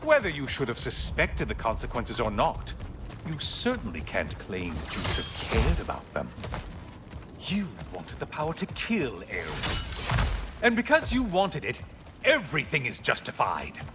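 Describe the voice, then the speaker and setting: A man's voice: medium pitch, wildly swooping pitch, a little slow, background noise. A man playing a smug, mocking god in a video game, needling his foe with theatrical sarcasm. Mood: accusing, smug. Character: smug, mocking male god, a taunting tormentor. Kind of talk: cinematic video game acting. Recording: music behind.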